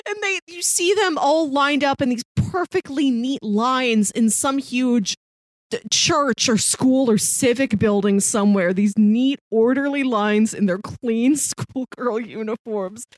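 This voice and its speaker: An American woman co-hosting a casual film discussion podcast, with an animated, storytelling way of talking. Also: a little high, measured pace, no room sound. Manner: animated storytelling, laughing through sentences, voices imagined quotes. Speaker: podcast co-host.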